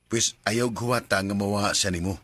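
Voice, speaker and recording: A man's voice: lowish voice, fairly even intonation, moderate pace, very noisy, close to an everyday voice. A man playing a concerned, sensible friend who admonishes earnestly in a Bisaya radio drama, with a Cebuano accent. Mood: earnest, advising. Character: concerned, sensible male friend giving frank advice.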